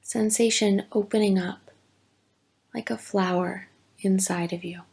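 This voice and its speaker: Very creaky, slow-spoken woman guiding meditation. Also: mid-range voice, steady tone. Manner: gentle, deliberate, instructional with long pauses. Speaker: yoga nidra meditation teacher. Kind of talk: slow guided meditation narration.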